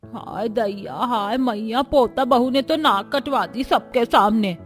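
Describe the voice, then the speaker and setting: A woman voicing an elderly, scandalised grandmother-in-law in a cartoon family story, with drawn-out wailing exclamations. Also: on the high side. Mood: scandalised lament. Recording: music behind the voice.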